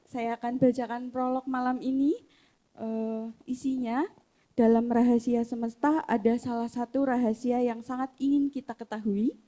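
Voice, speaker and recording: Softly spoken young woman reading. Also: a little high, steady tone. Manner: measured, softly spoken with filled pauses. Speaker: reader of the opening prologue. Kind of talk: reading a prologue to a live audience.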